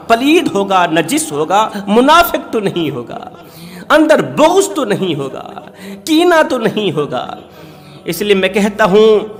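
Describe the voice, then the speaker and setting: Male Islamic storyteller, high voice, emphatic repeated lines, emotive oratory, long echoing reverb. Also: fairly bright tone. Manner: emphatic, rhetorical repetition; dramatic, emotive delivery. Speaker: Islamic preacher and moral storyteller. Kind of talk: Urdu-style religious sermon storytelling, oratory.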